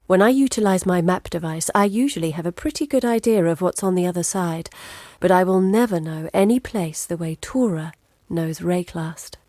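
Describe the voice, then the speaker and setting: A woman playing an educated, curious, guarded map-maker in exile in a video game, speaking in a measured, earnest, articulate way. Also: lowish voice, warm tone, no echo, studio quality, lightly acted. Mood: wry, skeptical. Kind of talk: scripted video game character dialogue. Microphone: close studio mic.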